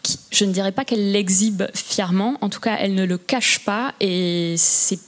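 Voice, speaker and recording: A woman, an art historian speaking on a public panel, pausing often with fillers and restarts. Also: mid-range voice. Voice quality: hesitant, many fillers and restarts.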